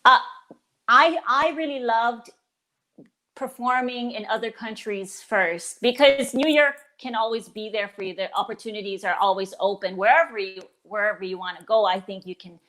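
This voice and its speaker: A woman in her forties from the Philippines, a stage performer chatting in an interview. Her voice sits a little high, and she talks warmly and eagerly, often restarting her sentences. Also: normal speed, rough recording. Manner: warm and enthusiastic, with frequent restarts. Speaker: guest performer. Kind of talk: casual interview.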